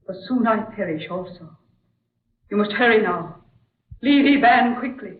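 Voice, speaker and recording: A woman's voice: medium pitch, animated, a little slow, narrow, tinny audio, lightly acted. An actress playing a doomed, resolute woman of an ancient land, solemn and melodramatic, in an old radio drama. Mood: solemn urgency. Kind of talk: 1940s radio acting. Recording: distant echoing vintage radio recording with music.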